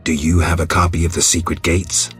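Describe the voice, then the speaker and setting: Stoic monster hunter from a video game, super deep gravelly voice, terse and flat. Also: male voice, brisk, soft background music, exaggerated voice. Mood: deadpan, guarded. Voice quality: gravelly, husky. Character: stoic, gruff adult male monster hunter.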